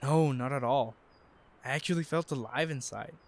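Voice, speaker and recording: A man's voice: mid-range voice, moderate pace, natural voice. A man playing a reassuring, hopeful young husband in an audio drama, speaking earnestly.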